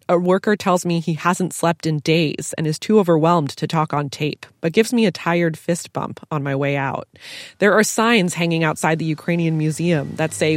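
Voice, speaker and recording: A woman reporter narrating a news feature in a measured, even way. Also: lowish voice, dry recording, studio quality.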